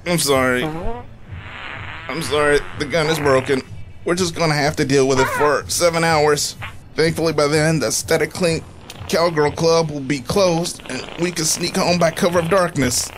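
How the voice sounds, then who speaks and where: An actor playing a nerdy, earnest inventor who explains technical things precisely in a comedy radio drama. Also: male voice, a little high, unhurried, background noise. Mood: defeated.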